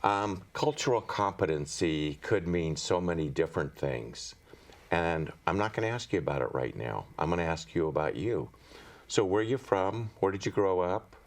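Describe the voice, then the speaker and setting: Very deep-voiced male TV host. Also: lively intonation. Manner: measured, friendly host questions. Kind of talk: public-access TV interview.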